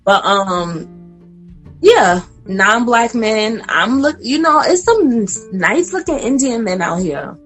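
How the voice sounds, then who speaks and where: Lively woman in her late twenties. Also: fairly high pitch, slow pace, soft background music. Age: 20s. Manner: animated, laughing, talks straight to listeners. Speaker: guest. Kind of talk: casual podcast chat.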